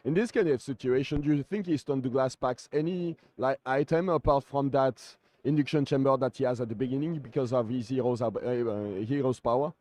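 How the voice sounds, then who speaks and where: A man co-commentating a card game tournament in a conversational, somewhat halting way. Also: mid-range voice, dark tone, dry recording.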